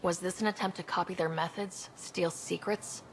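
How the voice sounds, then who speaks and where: Woman playing confident explorer, gravelly creak. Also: on the low side, fairly even intonation, normal speed, slight background noise, lightly acted, rough recording. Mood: wry, direct. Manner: wry, direct. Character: confident young woman explorer and team leader. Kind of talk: video game voice acting.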